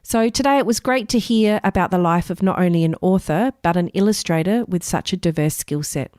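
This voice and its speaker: A woman's voice: on the low side, a little fast, darkish tone, no room sound, clean studio sound. A woman hosting a podcast, linking segments and putting questions to a guest. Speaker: podcast host and interviewer; school librarian. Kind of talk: podcast interview and linking commentary.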